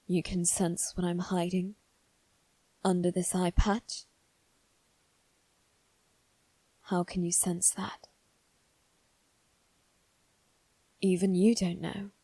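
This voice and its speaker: A woman voicing a guarded, haughty young noble boy in a scripted ASMR roleplay, with measured, formal phrasing. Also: on the low side, little pitch movement, dark tone, husky, no echo. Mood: wary, unsettled. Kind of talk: ASMR roleplay, one-sided scripted dialogue.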